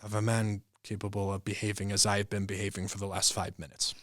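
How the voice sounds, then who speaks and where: A man acting in a radio play as a vain, tormented gentleman war hero, with a pompous, theatrical delivery. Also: on the low side, flat delivery, quick pace, dry recording, studio quality, lightly acted. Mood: angry.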